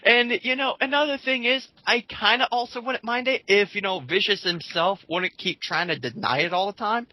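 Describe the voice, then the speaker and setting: A man co-hosting a casual group commentary, reading comments aloud and haltingly restarting his sentences. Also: high-pitched, sharp tone, dry recording. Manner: halting, with repeated false starts and filler phrases.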